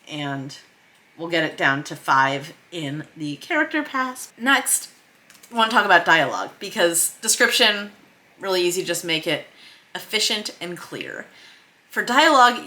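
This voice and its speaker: Bright-voiced woman walking through script revisions. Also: fairly low pitch. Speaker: screenwriter hosting a writing tutorial. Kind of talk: explanatory screen-share lesson, reading script excerpts aloud.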